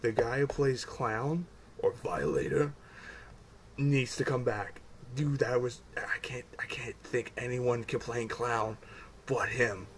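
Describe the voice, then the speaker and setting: A man's voice: mid-range voice, takes it slowly. Man chatting casually over background noise. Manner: repetitive, stumbling over words, often swearing. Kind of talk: casual fan chat about comic book films. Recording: noticeable background noise, amateur recording.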